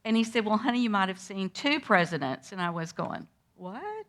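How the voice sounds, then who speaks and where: Lively older woman telling a story. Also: medium pitch, moderate pace. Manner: lively, animated storyteller who acts out moments. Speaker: interview guest recalling a historic day. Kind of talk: storytelling to schoolchildren.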